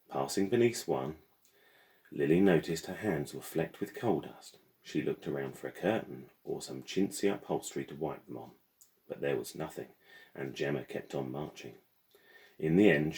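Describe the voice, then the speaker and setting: A man reading a children's novel aloud in expressive storybook narration. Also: extremely low pitch. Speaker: teacher reading aloud to pupils.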